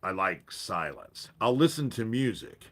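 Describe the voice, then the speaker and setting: A man hosting a casual livestream from his back porch, with a deep voice and a stuffy head cold, rambling with pauses and restarts. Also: big pitch swings, a bit bright. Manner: rambling, pauses, self-interrupting. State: congested from a cold. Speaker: livestream host.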